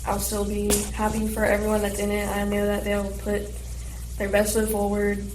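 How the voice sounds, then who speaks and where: A woman's voice: medium pitch, completely flat delivery, normal speed, roomy sound, noisy background. A teenage girl giving casual answers as a theater student in an interview. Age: teens. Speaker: high school theater student guest.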